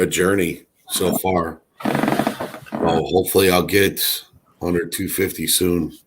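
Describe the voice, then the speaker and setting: A middle-aged man with a raspy, hoarse voice from allergies, chatting casually in a rambling way with many fillers. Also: low voice. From Chicago area. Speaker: co-host. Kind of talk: casual livestream chat.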